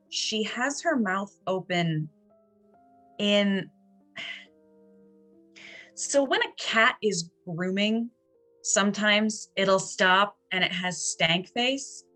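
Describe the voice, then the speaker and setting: A woman improvises a horror roleplaying story at a very slow pace, with casual asides. Her voice sits at a medium pitch, with faint music behind it. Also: pushed and bright. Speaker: player or storyteller in a horror tabletop game. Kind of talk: improvised narration and casual chat in a tabletop game.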